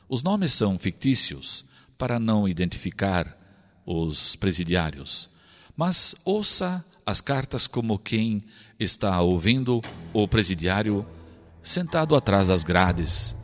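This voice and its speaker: Fervent radio pastor, reverberant. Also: male voice, lowish voice, a bit bright. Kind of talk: radio sermon and appeal. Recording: long reverb with faint music.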